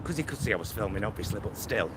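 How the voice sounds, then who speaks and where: A man's voice: fairly low pitch, lively intonation, racing. A man vlogging on a walk outdoors, chatting casually and meandering, often trailing off. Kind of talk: casual rambling chat to camera. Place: outdoors on a walk near a motorway. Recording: noisy outdoor recording with traffic.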